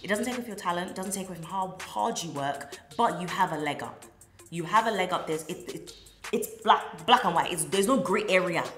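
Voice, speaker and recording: A woman's voice: fairly low pitch, fairly quick. A young woman co-hosting a casual chat, talking emphatically and with animation. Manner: emphatic and animated, acts out other people's voices. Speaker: podcast co-host. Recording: echoey room with music behind.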